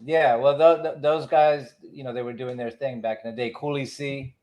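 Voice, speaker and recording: Middle-aged man reminiscing, medium-pitched voice. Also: steady tone, normal speed, a little mellow. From born in Cuba, moved to the United States as a child. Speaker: DJ, podcast guest. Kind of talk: casual reminiscing in an interview.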